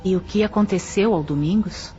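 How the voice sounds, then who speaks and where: A woman playing a calm, probing analyst who asks measured questions in an audio drama. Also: medium pitch, close to an everyday voice. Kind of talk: scripted audio drama dialogue. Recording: slightly muffled, clear music underneath. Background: background music.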